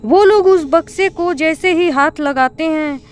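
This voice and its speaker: A woman's voice: high-pitched, unhurried. A woman reading scripted narration for a cartoon horror story.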